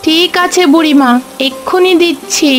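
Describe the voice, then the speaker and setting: A woman's voice: very high-pitched, normal speed, soft background music. A woman voicing a kindly, welcoming village sister-in-law who runs a small eatery in a cartoon fairy tale.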